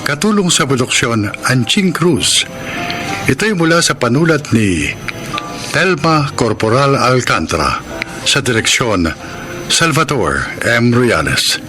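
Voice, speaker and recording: Filipino guy radio drama announcer, formal, mid pitch but really lively intonation, music under him. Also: takes it slowly. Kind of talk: formal scripted announcing with credits.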